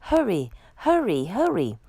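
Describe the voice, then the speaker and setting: A woman voicing excited, scolding firemen from a children's storybook in an urgent, exclamatory way. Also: medium pitch, a little slow, slightly rough, no echo, exaggerated voice.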